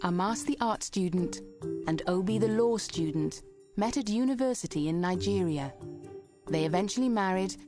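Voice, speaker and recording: A woman giving measured, scripted documentary narration. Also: mid-range voice, animated. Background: music.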